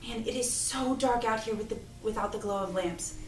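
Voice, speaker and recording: In a roomy, distant recording with music underneath, a woman acts a feisty young woman for an audio drama. She is exasperated and sardonic yet protective, in a high, sharp voice. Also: normal speed, lightly acted. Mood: irritated. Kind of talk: acted reading of an audio drama script.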